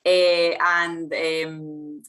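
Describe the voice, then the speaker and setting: Brief: woman, moderating a panel, halting and filler-heavy. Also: low voice, little pitch movement, a bit bright. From Argentina. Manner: hesitant, searching for words, many fillers.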